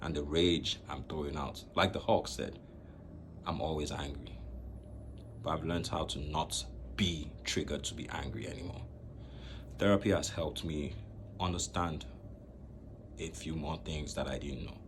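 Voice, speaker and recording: A young man from Nigeria speaking to camera in a slow, reflective way, pausing often between phrases. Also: a bass voice, steady tone, background noise. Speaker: vlogger. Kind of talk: personal talk to camera.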